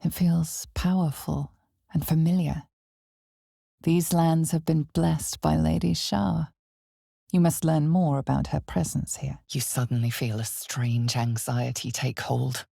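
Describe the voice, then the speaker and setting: Ominous, gravelly, low-voiced fantasy game narrator. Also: female voice, fairly even intonation, no echo, clean studio sound, full character voice. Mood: ominous, solemn. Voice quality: husky, gravelly, breathy. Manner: measured, deliberate, hushed theatrical delivery. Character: ominous, knowing female narrator of dark fantasy. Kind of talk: dramatic scripted second-person fantasy game narration.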